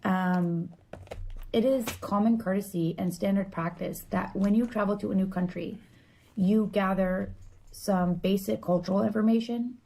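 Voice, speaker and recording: A woman giving a casual, explanatory monologue, earnest and opinionated, often halting and leaning on filler words. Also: mid-range voice, steady tone, creaky, dark tone, a little hiss or hum, rough recording.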